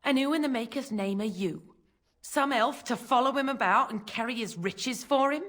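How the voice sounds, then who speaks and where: An actress playing a bitter, grasping, poor mother of five in a fantasy video game, scornful and sharp-tongued, with rough uneducated grammar. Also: on the high side, normal speed, close to an everyday voice.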